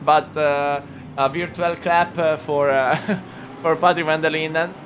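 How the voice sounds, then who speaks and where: A man's voice: a little high, fairly even intonation, background noise. A man with an Italian accent, whose first language is Italian, commentating a sim race casually, hesitant with many fillers. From Italy. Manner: halting, full of "uh" fillers and restarts; chatty, laughs often. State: injured shoulder. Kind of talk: live sim racing commentary.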